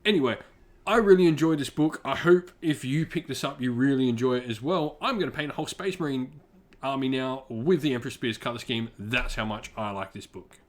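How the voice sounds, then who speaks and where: A man's voice: mid-range voice, brisk. Conversational man reviewing for viewers. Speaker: channel host, book reviewer. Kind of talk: informal scripted-outline review commentary to viewers.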